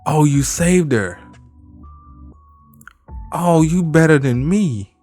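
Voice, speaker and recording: A man giving a casual, slangy live reaction, animated and exclamatory, swearing and repeating himself. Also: a little high, slow, soft background music. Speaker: YouTube reaction host.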